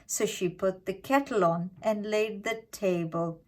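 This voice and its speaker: A woman reading a scripted fairytale for children in an expressive, dramatic, deliberate way. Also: medium pitch. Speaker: children's storyteller.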